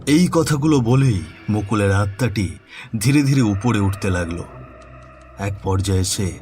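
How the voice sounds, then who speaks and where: A man's voice: fairly low pitch, expressive, a little slow, darkish tone, soft background music. A man narrating a scripted horror story in a dramatic storytelling style.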